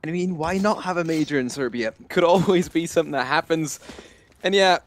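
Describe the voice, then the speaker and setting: A man's voice: fairly high pitch, a little fast. A man casting an esports match live, chatty and thinking aloud as he corrects himself. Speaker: esports caster.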